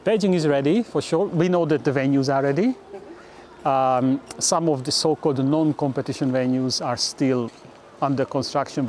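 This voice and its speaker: A man's voice: mid-range voice, darkish tone, slight background noise. A middle-aged man from Hungary, speaking in an earnest, upbeat interview tone with small restarts, on a lapel mic in an office. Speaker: interviewee, Olympic organizing expert. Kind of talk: relaxed interview talk. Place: indoor office.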